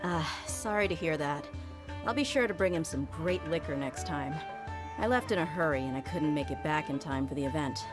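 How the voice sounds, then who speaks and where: A confident young female mercenary from a fantasy game. Her voice sits low, and she talks in a self-assured, casual, teasing way with a tough edge. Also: lively intonation, moderate pace, music in the background. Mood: warm, easygoing.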